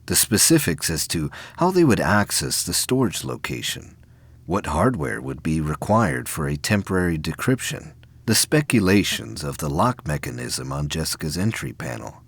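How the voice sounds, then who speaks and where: A man reading scripted narration for a science fiction audio play, with a husky voice. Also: extremely low pitch, no room sound.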